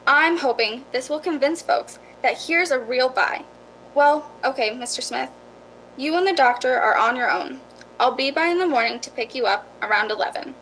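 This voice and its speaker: Actress as a no-nonsense female property agent in a radio play, high voice with animated intonation, dismissive and practical, faint rumble. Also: rough recording. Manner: practical, matter-of-fact. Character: brisk, dismissive female real estate agent. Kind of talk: amateur radio drama acting.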